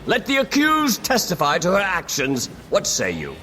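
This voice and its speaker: An actor playing a stern, impatient magistrate, commanding and formal, in an audio drama. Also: male voice, high voice, wildly swooping pitch, moderate pace, pushed and bright, full character voice. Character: stern, impatient male magistrate presiding over a murder trial. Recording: long hall reverb, faint noise.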